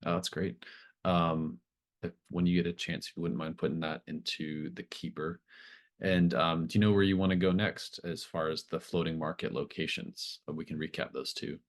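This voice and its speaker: A man's voice: low voice, steady tone, moderate pace. A man running a tabletop roleplaying game as game master, talking casually and explaining things in an encouraging way.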